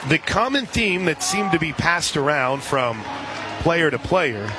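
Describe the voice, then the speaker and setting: Higher-pitched American man calling softball, crowd behind. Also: sing-song, a little slow. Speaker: radio play-by-play announcer. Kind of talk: live softball play-by-play commentary. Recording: outdoor stadium broadcast with crowd and music. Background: ballpark crowd and music.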